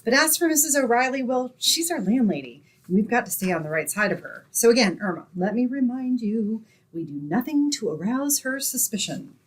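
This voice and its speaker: A woman's voice: fairly high pitch, expressive, brisk, few pauses, slightly rough, poor audio. A woman playing a sensible, wry, long-suffering young roommate in old-time radio comedy style. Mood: sensible, brisk. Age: young adult. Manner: sensible, wry, gently bossy.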